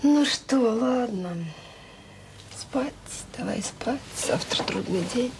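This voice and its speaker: An actress playing a caring, wry, middle-aged mother in an audio drama, talking in a colloquial, gently teasing, frank way. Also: a little high, expressive, takes it slowly. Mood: teasing, fond. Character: caring, wry, tired mother of a grown son. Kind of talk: naturalistic radio drama dialogue. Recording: slightly noisy 1980s audio drama recording.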